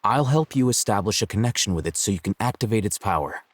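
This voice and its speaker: Man as a composed low-voiced young scholar. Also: lively intonation, slight background noise, natural voice. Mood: instructing. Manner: measured, formal, matter-of-fact explaining. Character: composed, analytical young male scholar, dryly clinical. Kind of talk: video game voice acting.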